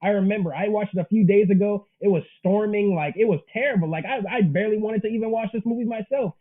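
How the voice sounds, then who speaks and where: A man's voice: high-pitched, fast talker. A man in his early twenties chatting casually as an interview guest, earnest, emphatic and slangy, over tinny call audio. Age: early 20s. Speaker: interview guest, manga creator. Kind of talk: casual chat.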